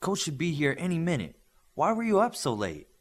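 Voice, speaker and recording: A man's voice: fairly high pitch, wildly swooping pitch, a little fast, pitched above the performer's own voice, full character voice. Man reading as terse young hero team leader. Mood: questioning.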